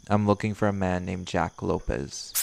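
Dude doing a cocky scary werewolf, super gravelly low voice, blunt n sarcastic, audio rp. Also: male voice, darkish tone, slight background noise, exaggerated voice. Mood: wary, blunt. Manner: blunt, curt, sardonic. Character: brash, menacing male werewolf hunting his quarry. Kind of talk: scripted audio roleplay acting.

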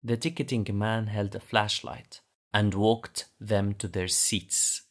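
A man's voice: fairly low pitch, flat delivery, slow pace. A man with a Lebanese accent narrating a bedtime story as a father would, explaining patiently.

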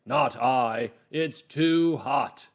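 A man voicing an overheated, unwilling storybook dog, complaining loudly with drawn-out words. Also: medium pitch. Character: hot, unwilling storybook dog making excuses.

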